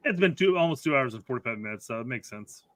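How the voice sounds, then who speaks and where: A man's voice: mid-range voice, animated, quick pace, fairly bright tone, no echo, studio quality. A man co-hosting a soccer podcast, careful and fact-checking, who often corrects himself. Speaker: podcast co-host. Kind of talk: casual soccer talk.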